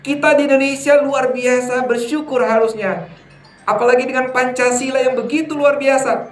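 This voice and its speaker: A man preaching a sermon to a congregation, lively and emphatic, often putting questions to his listeners. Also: extremely high pitch, normal speed, soft background music. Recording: echoey hall from far off, faint ambience.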